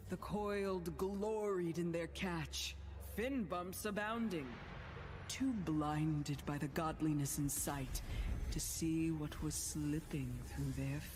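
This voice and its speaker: Slow, mysterious fable teller. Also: female voice, low-pitched. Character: mysterious, wry storyteller of a fantasy fable. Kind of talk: scripted storybook narration.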